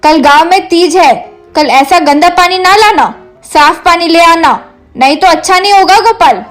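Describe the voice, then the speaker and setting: A woman voicing an outspoken, excitable village woman in a cartoon story, with a blunt, exclamatory manner. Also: very high voice, moderate pace, faint music behind. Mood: scolding.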